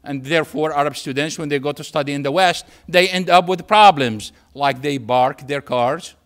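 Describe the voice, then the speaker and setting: Male lecturer, Syrian Arabic accent, native Arabic speaker, slightly high pitch, emphatic storytelling delivery with deliberate pauses. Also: fairly bright tone. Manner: emphatic, repeats phrases, pauses for effect. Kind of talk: public lecture with anecdotes and humour.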